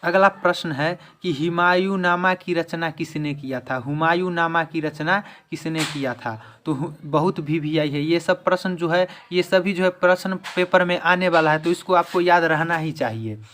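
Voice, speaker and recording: A man with a slightly high voice teaches in quiz style for exam students. He repeats each question and answer to drill it in. There is some noise behind him on the recording. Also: moderate pace, few pauses, fairly bright tone. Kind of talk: quiz-style history lesson.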